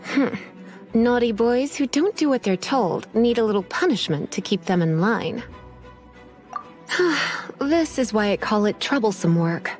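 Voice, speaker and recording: A woman's voice: a little high, expressive, unhurried. A woman playing a poised, languid librarian mage in a video game, formal in wording, teasing and faintly menacing. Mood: teasing menace. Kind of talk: video game character acting. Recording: music behind the voice.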